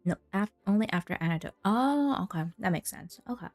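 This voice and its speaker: A woman gives casual, chatty game commentary in a mid-pitched voice. She rambles along close to a dry mic, with game music clearly underneath. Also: expressive, a little fast, a little mellow, dry recording. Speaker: game streamer. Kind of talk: casual gameplay commentary, reading dialogue aloud.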